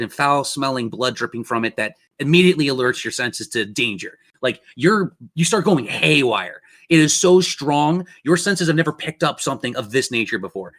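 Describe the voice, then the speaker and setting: Man, game master, medium pitch, dramatic descriptive delivery, improvised tabletop narration. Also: a bit bright.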